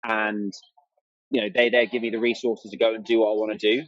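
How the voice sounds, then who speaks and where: A man talks at a quick clip in a casual, enthusiastic podcast style. His pitch is average for a man. The sound is dry and close, with a slightly muffled, band-limited quality. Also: level tone, a bit bright, no room sound. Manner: chatty and enthusiastic, acts out remembered exchanges. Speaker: interview guest, amateur runner and content creator.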